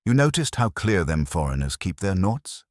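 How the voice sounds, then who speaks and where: A man acts out a talkative railway porter from an audiobook story. He is wry and droll, with a low, husky voice that rises and falls freely. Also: very animated, normal speed, no room sound, studio quality. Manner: droll, roundabout.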